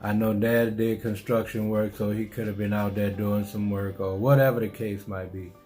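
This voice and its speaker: Low, flat-voiced New Orleans man. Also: soft background music. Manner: unhurried, musing, repeats himself with restarts. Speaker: vlogger telling his life story. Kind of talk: reflective personal storytelling.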